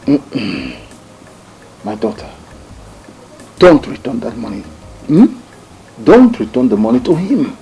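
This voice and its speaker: A man playing a husky, mellow father. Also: medium pitch, huge pitch swings, slow pace, long pauses, full character voice. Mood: fatherly. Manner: gentle, advising. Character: fatherly older man advising his daughter.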